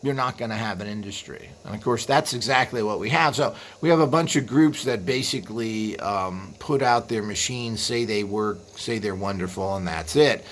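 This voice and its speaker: A man hosting a video with an unscripted explanatory monologue, rambling and halting as he trails into asides. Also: on the low side, a bit bright. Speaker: video host. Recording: clearly audible background noise.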